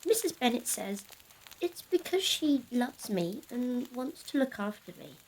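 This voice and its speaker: Actress as timid, high-voiced, slow-spoken village girl. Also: big pitch swings, a little hiss or hum, poor audio. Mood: timid, apologetic. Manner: hesitant, stammering, deferential. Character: timid, apologetic, well-bred village girl. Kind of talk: period audio drama acting.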